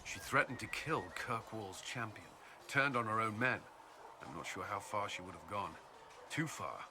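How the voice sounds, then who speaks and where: A man acting a stern, weary military commander in a video game, speaking in a formal, measured way. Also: fairly low pitch, animated, background noise, natural voice. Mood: grave, reflective. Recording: music behind the voice.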